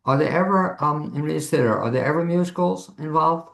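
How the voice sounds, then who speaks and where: A man hosting a conversational interview, putting questions to his guests as if thinking out loud. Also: a little high, a little fast, creaky. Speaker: host, interviewer. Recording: echoey room sound.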